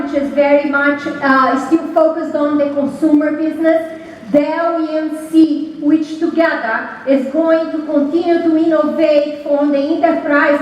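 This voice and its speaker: A Brazilian-accented woman with a high voice delivers a conference keynote, light asides mixed with frequent fillers. She sounds distant from the mic in a large, strongly reverberant hall with faint noise. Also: lo-fi sound. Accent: Brazilian Portuguese. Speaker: keynote speaker.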